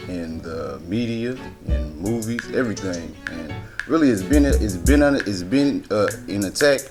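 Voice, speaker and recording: Deep-voiced rambling man in his thirties. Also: expressive, normal speed, music in the background. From Hot Springs, Arkansas. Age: 30s. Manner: rambling, restarts often. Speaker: interviewee. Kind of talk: casual opinion interview.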